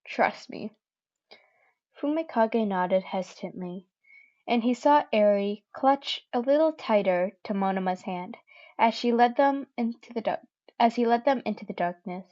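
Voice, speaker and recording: A young woman with a fairly high voice reads fan fiction aloud in a loose, chatty way, stumbling and correcting herself often. The recording is dull and lo-fi, made at home. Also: normal speed, mellow. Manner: chatty, with many fillers and self-corrections. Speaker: podfic reader. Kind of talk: casual reading of fan fiction.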